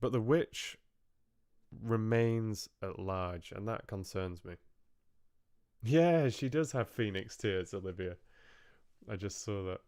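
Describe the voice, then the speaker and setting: Male book club host, smooth and fairly low, close dry sound, rambling chatty delivery with a joking streak. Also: takes it slowly, pauses often, a little mellow, dry recording. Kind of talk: casual chat, musing on fairy tales with live chat.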